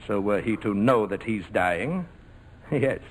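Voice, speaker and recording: An actor playing a smooth, menacing crime boss in 1940s radio drama style, mock-polite and coolly amused. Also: male voice, on the low side, expressive. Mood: smug menace. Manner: suave, mock-polite, unhurried threats. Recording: noisy vintage 1940s radio recording.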